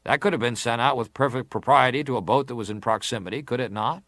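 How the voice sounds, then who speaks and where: An actor playing a formal, persistent senator who questions a witness deliberately in a dramatised hearing. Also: male voice, mid-range voice, a bit bright, no room sound, studio quality, close to an everyday voice. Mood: insistent, stern. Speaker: interrogator at a hearing. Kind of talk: dramatised reading of hearing testimony.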